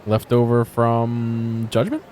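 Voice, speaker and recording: A man giving casual, off-the-cuff video game commentary, thinking aloud in halting fragments and questions to himself. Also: medium pitch, flat delivery, a little mellow, a little hiss or hum.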